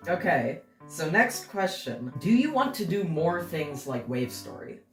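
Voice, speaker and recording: Casual female interview host, mid pitch, echoey room with music underneath. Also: sing-song, unhurried, recorded from a distance. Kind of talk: casual on-camera interview chat. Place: indoor room, sharing the frame with guest. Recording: roomy, off-mic, music underneath. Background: guitar music.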